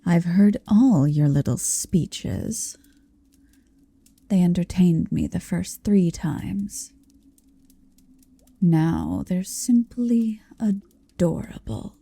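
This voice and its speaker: A woman's voice: on the low side, sing-song, very slow, creaky, pauses often, mellow, husky, dry recording, heavily acted. A woman playing a huge, possessive, motherly female dragon in an ASMR roleplay, tenderly domineering and teasing, often whispering close to the mic. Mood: smug, tender. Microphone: close-up microphone, dry.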